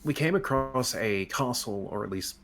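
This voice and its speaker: A man's voice: mid-range voice, animated, normal speed, fairly bright tone. A man chatting as a guest on an online show, rambling and correcting himself as he tells stories. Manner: rambling, self-correcting storyteller. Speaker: interview guest. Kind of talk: casual chat.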